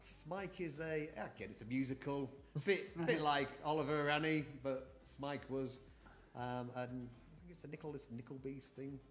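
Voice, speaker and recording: A man's voice: medium pitch, normal speed. Chatty middle-aged man reminiscing. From born in New Zealand, moved to the UK aged eight. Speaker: podcast guest. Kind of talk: casual interview, reminiscing.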